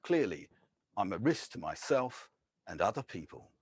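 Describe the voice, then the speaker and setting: A man, a poet, reading his own poems in a measured, deliberate way, with short casual introductions between them. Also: on the low side, very animated, husky. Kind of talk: poetry reading with spoken introductions.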